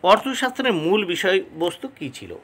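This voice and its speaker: A man's voice: high voice, animated, fairly quick, a bit bright. A man tutoring for history exams, reading questions and model answers aloud in a didactic way, announcing each item and repeating key terms.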